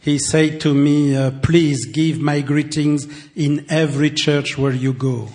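A man from France with a French accent, addressing a congregation in simple, halting English and pausing for an interpreter. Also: fairly high pitch, level tone, a little mellow. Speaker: visiting church speaker and ministry director.

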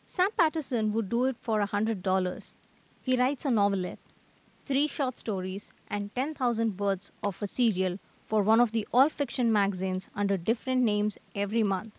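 A woman's voice: medium pitch, moderate pace, lightly acted, rough recording. A woman playing an earnest, eager young male artist in a radio drama, with wordy old-fashioned phrasing. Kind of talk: scripted radio drama acting.

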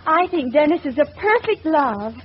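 Sweet earnest girlfriend, really high voice, old radio comedy, muffled. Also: very animated, moderate pace. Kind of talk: 1940s radio comedy acting. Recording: dull old radio recording, faint noise.

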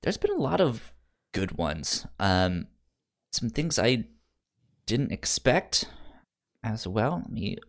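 A man's voice: lowish voice, sing-song, slow, pauses often. A man hosting a podcast in casual review chat, rambling a little as he thinks aloud. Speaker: podcast host.

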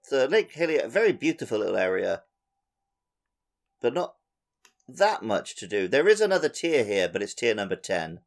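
Gravelly-voiced man hosting a podcast. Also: mid-range voice, very animated, normal speed, long pauses. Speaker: podcast host. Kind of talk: casual podcast chat.

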